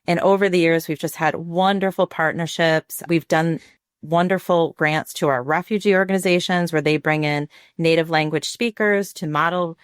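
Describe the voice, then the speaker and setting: A woman's voice: sits low, steady tone, moderate pace, clear, resonant tone, no room sound, studio quality. An American woman speaking as a podcast guest, explaining things conversationally with frequent small asides. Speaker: podcast guest, library program director.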